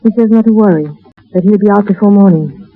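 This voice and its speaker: A woman's voice: medium pitch, quick pace, phone-quality sound, soft background music, lightly acted. Actress playing a world-weary woman of mystery. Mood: weary, earnest. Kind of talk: 1930s radio drama acting. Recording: noisy, thin 1930s radio broadcast.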